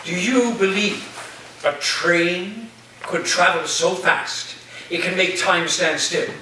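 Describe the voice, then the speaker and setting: A man in a staged play reading, playing an eccentric philosophy professor with a halting, whimsical, theatrical delivery. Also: high voice, bright, forceful tone, full character voice. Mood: grandly whimsical. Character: eccentric male philosophy professor full of wild conspiracy theories. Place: reverberant room, staged reading before listeners. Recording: distant echoey room recording, faint noise.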